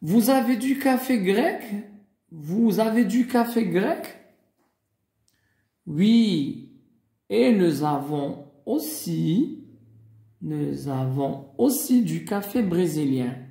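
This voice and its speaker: A man teaching a beginner language lesson, speaking slowly and deliberately, spelling out and repeating each example. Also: high voice, animated, gravelly, warm tone, reverberant. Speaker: French teacher.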